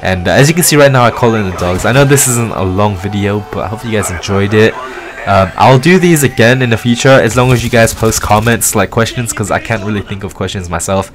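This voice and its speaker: A young man around twenty speaks in a low-pitched voice with an accent that blends London English and American. He talks casually, in the style of a personal gaming commentary. Music plays clearly behind him. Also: a little fast. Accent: London English mixed with American. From London, England. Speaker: gaming video creator.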